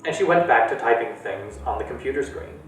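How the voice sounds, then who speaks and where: A man reading a storybook aloud, his voice rising and falling with lively expression. He sounds roomy and echoey, picked up from a distance with faint music in the background. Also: mid-range voice, a little fast. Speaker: library storyteller.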